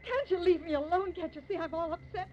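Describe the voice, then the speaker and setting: A woman's voice: very high-pitched, fast talker. A woman playing a frightened, fretful widowed mother, pleading and overwrought, in 1950s radio melodrama. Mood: panicky, pleading. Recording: dull, hissy vintage radio recording.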